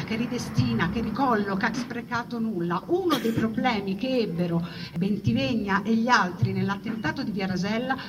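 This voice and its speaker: A woman performs a theatrical narrative monologue, conversational yet emphatic, with dramatic pauses and repeated phrases. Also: a little high. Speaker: stage storyteller performing own monologue. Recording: distant mic, noisy live ambience.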